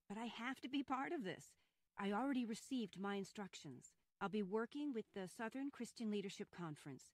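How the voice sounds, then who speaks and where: A woman's voice: medium pitch, no echo, lightly acted. A resolute mother in a radio history drama, acted by a woman, speaks with earnest conviction. She is firm and persuasive, recorded close and dry. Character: resolute young mother set on joining civil rights marches. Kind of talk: old-time radio style dramatic acting.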